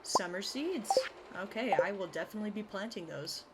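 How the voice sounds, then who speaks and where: A woman's voice: mid-range voice, animated, brisk, heavy background noise. A woman hosting a casual let's play, explaining her plans step by step as she goes. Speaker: gaming video host. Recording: music playing behind. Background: game music.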